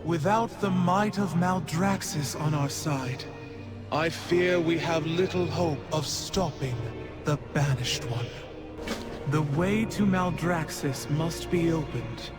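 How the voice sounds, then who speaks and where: Slow, solemn otherworldly sage. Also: male voice, on the high side. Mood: grave, concerned. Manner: formal, grave. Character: solemn, wise otherworldly keeper, grave and concerned. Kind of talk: video game quest dialogue. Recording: voice over clear background music.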